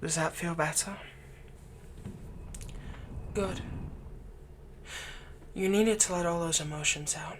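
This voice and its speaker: A man playing a possessive young fantasy villain in an ASMR roleplay, tenderly soothing a captive in a soft voice that often turns breathy. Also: on the high side, slow pace, long pauses, a little hiss or hum. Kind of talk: ASMR roleplay, intimate scripted monologue.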